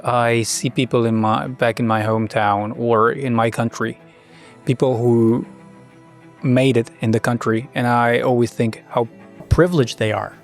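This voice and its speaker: A man hosting a podcast interview, asking questions and reflecting in a conversational way. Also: medium pitch. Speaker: podcast host.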